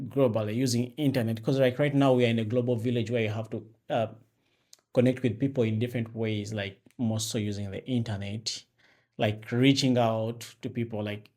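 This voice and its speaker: A young man from Uganda with a Ugandan accent talks to his viewers in a relaxed, rambling way, full of fillers and restarts. His voice sits a little low. He records himself on an external microphone into a phone. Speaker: vlogger host. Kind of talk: casual talk to camera. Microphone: external mic with phone camera.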